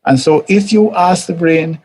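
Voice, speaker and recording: A man's voice: a little high, normal speed, darkish tone, slightly rough. A man with a Jamaican accent giving an informal church teaching talk, conversational and repetitive, often chuckling and acting out quoted voices. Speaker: teacher of a church life series.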